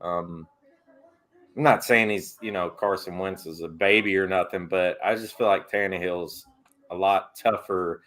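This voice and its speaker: A man's voice: sits low, fairly even intonation, a little slow, a bit bright. A man chatting as guest on a casual fan live stream, giving his opinions hesitantly with stammered starts. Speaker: guest co-host.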